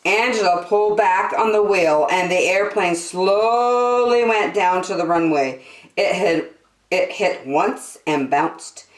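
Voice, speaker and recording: A woman hosting story time, reading a children's book aloud in an expressive, deliberate, sing-song way. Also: fairly low pitch. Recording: echoey room.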